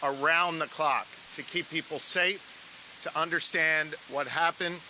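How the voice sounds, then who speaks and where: A man's voice: fairly high pitch, steady tone, measured pace, sharp tone, rough recording. A man delivering a formal public statement as an official. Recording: faint hissing noise.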